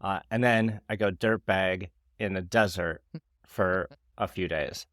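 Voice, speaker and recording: A man in his forties telling a story in casual podcast chat, animated and laughing often. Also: low voice, a little slow, no room sound, clean studio sound. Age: 40s. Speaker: podcast co-host.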